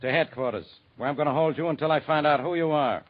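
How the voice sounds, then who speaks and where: An actor playing a gruff, touchy police inspector in a 1940s radio drama. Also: male voice, fairly high pitch, quick pace, lightly acted. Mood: stern. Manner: grumbling, defensive. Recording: muffled old radio recording.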